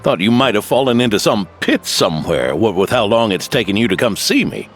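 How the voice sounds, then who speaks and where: An actor playing a wry, probing old veteran knight captain in a game. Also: male voice, on the low side, animated, brisk, faint music behind. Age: older.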